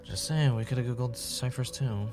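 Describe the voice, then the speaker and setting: A man's voice: medium pitch, moderate pace, darkish tone, soft background music, close to an everyday voice. An actor playing a joking, evasive male podcaster in naturalistic, bantering audio drama dialogue. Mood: teasing.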